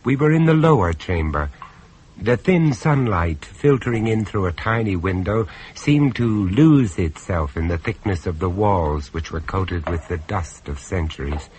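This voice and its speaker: An actor playing a grave, observant gentleman who narrates a horror tale in a radio play, in a dramatic storytelling style. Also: deep voice, lively intonation, measured pace. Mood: uneasy, brooding. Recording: noisy old radio drama recording.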